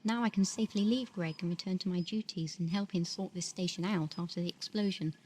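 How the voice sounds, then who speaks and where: A woman playing a clinical space-station physician dictating a formal medical log in an audio drama, measured and composed. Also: on the low side, darkish tone, slightly rough. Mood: clinical, reflective. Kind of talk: amateur audio drama monologue.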